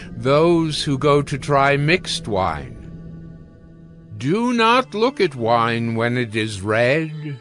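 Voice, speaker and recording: A man reads scripture slowly as a dramatized narration, his pitch moving expressively through each line. His manner is solemn and admonishing, with music playing underneath. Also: a bit bright. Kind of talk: dramatized scripted audiobook reading.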